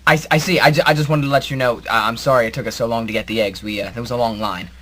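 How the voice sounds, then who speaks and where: A man's voice: mid-range voice, steady tone, fairly bright tone, natural voice. A man playing a mild, gullible boss who talks hesitantly and apologetically in a comic superhero audio drama. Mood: apologetic, flustered. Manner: stammering, apologetic, hurried.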